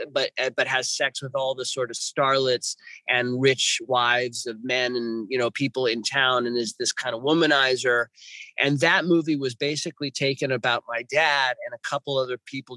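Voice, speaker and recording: A man's voice: mid-range voice, bright, forceful tone, no room sound, poor audio. Rambling man in his fifties, dry. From Los Angeles, California. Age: 50s. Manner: rambling, restarts, many fillers. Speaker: guest. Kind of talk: podcast interview storytelling. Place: home with birds nearby.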